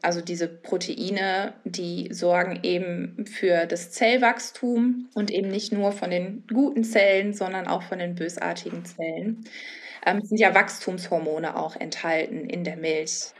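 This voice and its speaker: A woman's voice: fairly low pitch, steady tone, measured pace. A woman speaking as an interviewed nutrition expert, explaining informally and often adding tag questions. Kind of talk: spontaneous explanatory interview talk.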